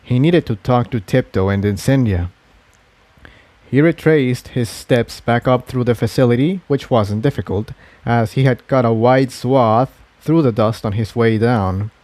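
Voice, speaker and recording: A man's voice: medium pitch, measured pace, a little mellow, dry recording. A man narrating an adventure story from a script, as a fan fiction reader.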